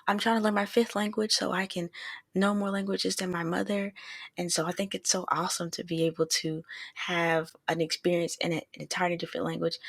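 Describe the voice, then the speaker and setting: A woman's voice: on the low side, gravelly, a bit bright, husky, no room sound. A woman hosting a podcast interview, speaking in a casual, upbeat way with slang. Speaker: podcast host.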